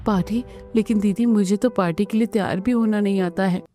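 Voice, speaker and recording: A woman's voice: mid-range voice, fairly quick, mellow, faint music behind, close to an everyday voice. A woman voicing a meek, innocent young bride in a Hindi cartoon, plaintive and timid. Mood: unsure. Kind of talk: Hindi family cartoon voice acting.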